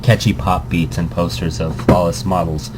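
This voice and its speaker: A man's voice: deep voice, flat delivery, moderate pace. A man doing casual let's play commentary, reading game text aloud and breaking off into rambling asides. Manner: rambling, self-interrupting asides. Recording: noisy amateur home recording.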